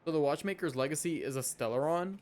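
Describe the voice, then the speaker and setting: A man's voice: a little high, quick pace, darkish tone. A young man streaming a game, giving casual, chatty and playful live reactions. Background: game music.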